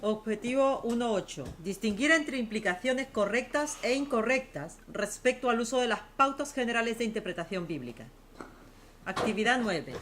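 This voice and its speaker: A woman's voice: a little high, lively intonation, normal speed, a bit bright, a little hiss or hum. Woman student reading class exercises aloud.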